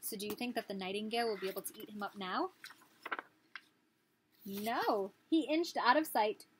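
A woman's voice: medium pitch, normal speed, slight background noise. A woman in her thirties reading a picture book aloud as a teacher, expressively, putting questions to small children. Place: small living room, indoors.

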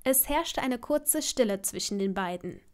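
A woman's voice: fairly high pitch, expressive, a little slow. A woman reading scripted story narration as the narrator of an audio drama.

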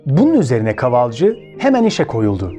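A man's voice: medium pitch, wildly swooping pitch, moderate pace, dark tone, faint music behind. A man with a Turkey Turkish accent hosting a children's story show, speaking expressively and addressing his listeners directly as friends.

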